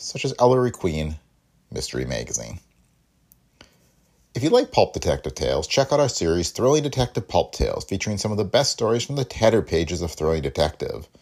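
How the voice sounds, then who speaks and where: A man presents a podcast from a prepared script. His voice is very low and rough-edged, yet his delivery moves up and down in an animated way. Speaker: podcast host.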